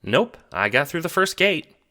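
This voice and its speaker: A man voicing an eager, wide-eyed young boy adventurer who talks casually and excitably in an audio drama. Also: fairly high pitch, normal speed, over music, pitched above the performer's own voice. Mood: excited.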